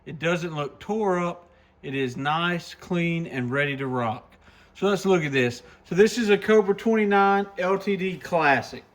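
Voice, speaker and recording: Male shop presenter, voice a touch high, folksy and enthusiastic, casual hands-on product demo delivery. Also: fairly bright tone.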